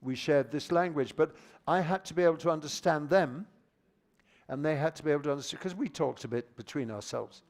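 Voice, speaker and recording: A fairly high-voiced older man speaks into a handheld microphone to a teachers' audience. He is lively and informal. He often stumbles and restarts as he echoes listeners' comments. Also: fairly quick. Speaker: plenary speaker on teaching methodology. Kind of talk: live conference talk with audience discussion.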